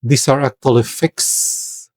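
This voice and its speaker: A man talks through a figure review at an ordinary, middle pitch. He speaks with care and often pauses to find the right word. The recording is dry, with no room sound. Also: steady tone, darkish tone, dry recording. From Philippines. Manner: hesitant, searching for words, careful. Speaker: toy reviewer. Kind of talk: product review voice-over.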